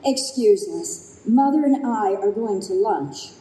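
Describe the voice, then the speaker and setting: A woman's voice: mid-range voice, animated, takes it slowly, warm tone, slight background noise. An actress playing a blunt, protective daughter in her twenties in an old-time radio style stage play. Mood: pointed. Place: convention room stage performance. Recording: echoey live room.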